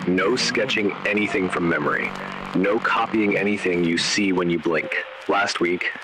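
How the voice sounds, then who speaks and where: A man reading scripted horror narration in a measured, grave, ominous way, in short dramatic phrases. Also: low voice. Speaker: narrator of an analog horror video. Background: static noise.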